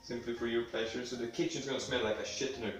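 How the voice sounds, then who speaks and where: A man's voice: mid-range voice, fairly even intonation, fast. Casting: young guy, sniffly cold, rambling and crude, recorded from a distance in a very echoey kitchen. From Sweden. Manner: rambling, offhand, swears casually. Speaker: home cooking video host. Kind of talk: casual cooking demonstration. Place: home kitchen. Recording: echoey room, mic at a distance, faint music.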